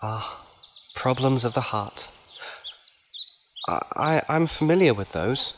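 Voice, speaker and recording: A man playing a gentle, lovelorn musician in an audio drama, halting and hesitant. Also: mid-range voice, lively intonation, noisy background. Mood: shy, wistful. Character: gentle, shy, lovelorn male wandering musician.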